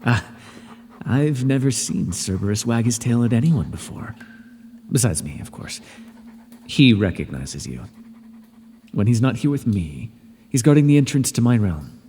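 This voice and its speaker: A man's voice: mid-range voice, big pitch swings, a little slow, long pauses, mellow, big-room sound, slight background noise. A man voicing an irritable male god who rules the underworld, speaking in a haughty, scolding way with dry sarcasm, as an audio roleplay.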